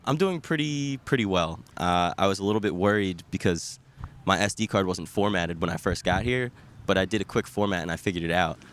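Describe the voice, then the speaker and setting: Deep-voiced young man hosting a podcast. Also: fairly quick, few pauses. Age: 20s. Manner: playful, teasing deadpan. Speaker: podcast host. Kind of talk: casual chat. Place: outdoors in a park.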